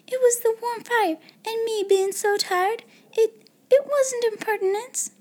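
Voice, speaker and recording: Woman playing a young servant girl, storybook figure, very high voice, soft, timid, flustered, stammering, rough uneducated speech. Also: slow. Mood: flustered, apologetic.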